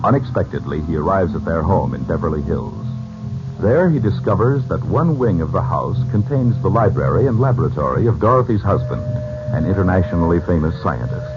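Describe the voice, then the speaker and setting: A very low-voiced American man delivers formal radio announcing with big, theatrical swings in pitch. It is measured, scripted 1940s work. The recording is muffled, with music clearly behind him. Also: big pitch swings.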